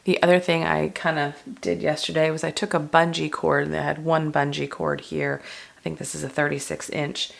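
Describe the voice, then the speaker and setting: A woman in her thirties, hoarse from a cold, chatting her way through a pantry clean-out vlog with lots of ums. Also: low voice, flat delivery, moderate pace. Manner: chatty, rambling with many ums. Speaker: homestead vlogger. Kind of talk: casual walkthrough vlog. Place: converted dining room pantry, indoors.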